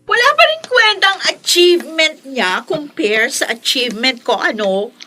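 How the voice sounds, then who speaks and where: A woman's voice: sits high, big pitch swings, measured pace, sharp tone, full character voice. A Filipino actress playing a spoiled, spiteful teenage schoolgirl in a melodramatic, haughty radio drama style. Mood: haughty, scornful.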